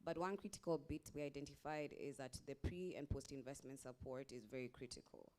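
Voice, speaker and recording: A woman's voice: sits low, darkish tone, clean tone. A Kenyan woman investor answering a panel question, laying out her points one by one with small restarts. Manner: explains point by point, restarts, occasional laughs.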